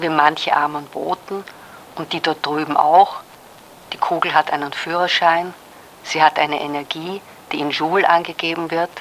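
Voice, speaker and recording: A woman's voice: sits low, a bit bright, slight background noise, poor audio. A woman acting a wry messenger in a radio play, musing in a dry, pedantic, digressive way. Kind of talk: literary radio play monologue.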